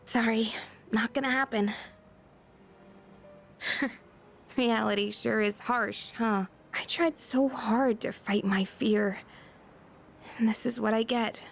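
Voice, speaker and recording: Vulnerable American schoolgirl, video game drama, grieving, high pitch, vocal fry, unhurried delivery. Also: fairly even intonation, faint music behind. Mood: bitter, defeated. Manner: emotional, vulnerable, confiding. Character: teenage schoolgirl fighter, hurt, bitter, grieving daughter. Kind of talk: English video game voice acting.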